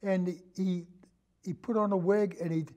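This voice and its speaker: Guy with a pretty high voice chatting about movies, rambles along, stops and starts, repeats himself. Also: steady tone, dark tone. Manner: halting and rambling, often repeats phrases. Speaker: podcast guest, film discussion. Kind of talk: casual film discussion, anecdotal storytelling.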